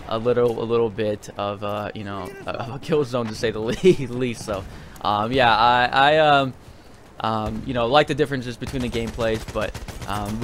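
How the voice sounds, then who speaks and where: A man's voice: mid-range voice, fairly bright tone, slight background noise. A man giving casual video game commentary in a rambling, hesitant way, often repeating himself.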